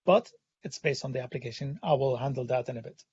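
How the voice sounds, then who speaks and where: A hoarse man who has lost his voice presents a technical webinar, pausing often with "uh" fillers. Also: medium pitch, darkish tone, highs cut off. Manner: hesitant, many "uh" fillers. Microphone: studio condenser microphone.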